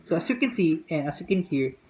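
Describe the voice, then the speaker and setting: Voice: medium pitch, animated, quick pace. A native Filipino speaker with a Filipino accent, casually explaining practical test tips to the camera. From Philippines. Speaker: vlogger giving test tips. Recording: clear background noise.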